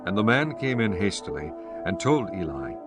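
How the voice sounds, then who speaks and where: A man reading scripted scripture narration in a solemn, measured manner. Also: lowish voice, very animated, over music.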